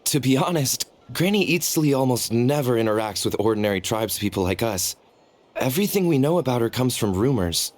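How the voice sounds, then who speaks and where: A man's voice: mid-range voice, animated, a little fast, natural voice. An actor playing a nervous, deferential ordinary tribesman in a game. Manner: polite, deferential.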